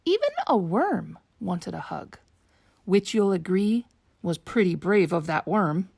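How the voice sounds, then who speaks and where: A woman reading a children's picture book aloud in an expressive, slow, storytelling manner. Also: fairly low pitch, creaky, slightly rough. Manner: slow, animated read-aloud delivery for young children. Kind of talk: expressive bedtime storybook narration.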